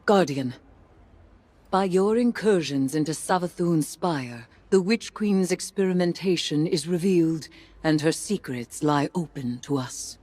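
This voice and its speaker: A woman playing a grave, haunted mystic of dark magic in a video game, husky and breathy, with solemn, deliberate phrasing. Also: sits low. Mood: solemn, reverent. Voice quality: husky, breathy, often near a whisper. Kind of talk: dramatic video game monologue.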